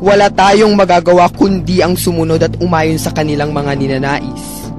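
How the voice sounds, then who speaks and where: A noble father acted by a man in a dramatized epic, dutiful and bound by royal command. His voice sits slightly high and is solemn and formal. Music plays clearly underneath. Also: little pitch movement, moderate pace, lightly acted. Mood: resigned, protective.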